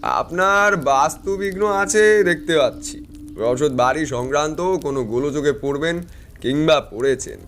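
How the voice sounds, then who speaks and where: Shrewd tantric storyteller, swooping intonation. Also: male voice, on the high side, expressive, measured pace, fairly bright tone. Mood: confident, pronouncing. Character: shrewd, self-assured tantric fortune-teller recounting his tale. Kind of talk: dramatised first-person story narration.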